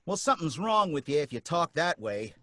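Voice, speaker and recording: A man's voice: a little high, sing-song, fairly quick, pushed and bright, dry recording, studio quality. An actor playing a wary, working-class male taxi driver who talks in folksy slang and grows suspicious of his passenger. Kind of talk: live radio drama reading.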